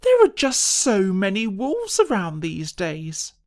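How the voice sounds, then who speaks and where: A woman voicing a big-brother piglet in a children's story, with a drawn-out delivery. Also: mid-range voice, huge pitch swings, darkish tone. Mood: complaining. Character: slow-talking big-brother boy piglet.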